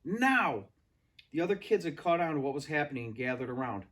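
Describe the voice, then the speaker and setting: A man reading a story aloud as an expressive narrator. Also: fairly high pitch, racing. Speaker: read-aloud reader.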